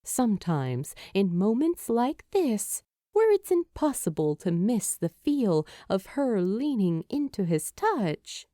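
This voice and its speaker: A woman reading a story as a narrator, in an expressive, measured storytelling manner. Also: medium pitch, no echo, studio quality. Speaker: fan fiction narrator.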